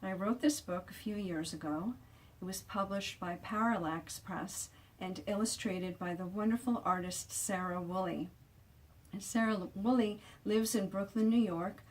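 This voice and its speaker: A woman author reads her children's picture book aloud expressively and deliberately, drawing out descriptive words for emphasis. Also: mid-range voice, recorded from a distance, poor audio.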